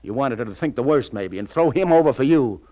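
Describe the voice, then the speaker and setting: A man's voice: medium pitch, expressive, fast, highs cut off, lightly acted. An American man playing a shrewd, casual New York police detective lieutenant in 1940s radio crime drama style, clipped and sardonic. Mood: accusing.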